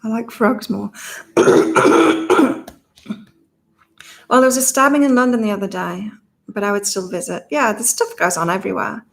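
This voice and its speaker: A woman hosts a relaxed online reading session with a slight morning croak in her voice. She chats, laughs often and reads viewers' comments aloud before turning to the cards. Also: medium pitch, measured pace, long pauses. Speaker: psychic reader and channel host. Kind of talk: casual livestream chat with card readings.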